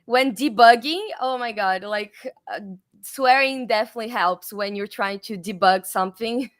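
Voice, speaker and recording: A woman's voice: fairly high pitch, normal speed. A woman from Brazil with a Brazilian accent, a guest chatting casually in a video-call interview with many fillers and restarts. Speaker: guest, developer advocate.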